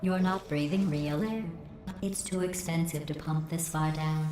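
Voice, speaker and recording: A woman voicing a cold, sarcastic female AI that runs a test facility, with a synthetic robotic edge and a deadpan, passive-aggressive delivery. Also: sits low, a little slow. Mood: dry sarcastic. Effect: synthetic robotic processing. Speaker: antagonist. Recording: roomy echoing game audio with faint noise.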